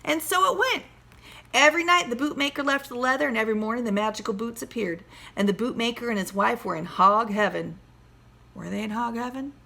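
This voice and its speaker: Female storybook reader, teacherly and expressive, higher-pitched with a very bright tone. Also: vocal fry. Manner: animated, teacherly, pauses to question listeners. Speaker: primary school teacher reading aloud. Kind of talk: expressive children's storybook read-aloud.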